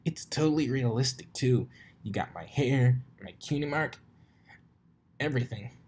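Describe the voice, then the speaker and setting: Man voicing a brash teasing girl pony, pitched up. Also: a little slow, darkish tone, husky. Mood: cocky teasing. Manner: cocky, mocking, exclamatory. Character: brash, teasing young female pegasus pony, easily panicked.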